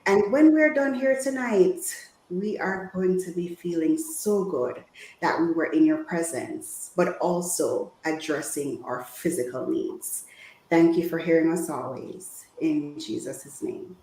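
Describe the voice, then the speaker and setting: A woman's voice: fairly low pitch, unhurried, warm tone. Woman speaking in an echoey room. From Jamaica. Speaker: guest women's health speaker. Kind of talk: informal health talk to an online audience.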